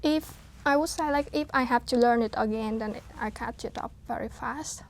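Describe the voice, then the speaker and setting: A woman in her thirties from Vietnam, speaking English as an as an interview guest, hesitant with frequent fillers and soft laughs. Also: a little high, normal speed, a little hiss or hum. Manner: hesitant, many fillers and restarts, laughs softly. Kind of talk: casual interview talk.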